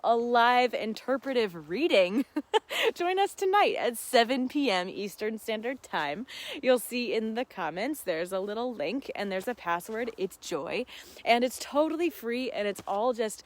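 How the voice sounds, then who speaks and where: A woman's voice: a little high, very animated, normal speed. A woman hosting a casual livestream, talking in an earnest, heartfelt and effusive way. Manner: effusive, often laughing while talking. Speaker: host of a live Q&A.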